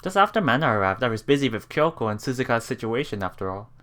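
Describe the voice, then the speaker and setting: A woman's voice: extremely low pitch, big pitch swings, fast, a bit bright. A woman hosting a let's play, reading visual novel text aloud and reacting with giggly asides. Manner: giggly, reactive asides between read lines.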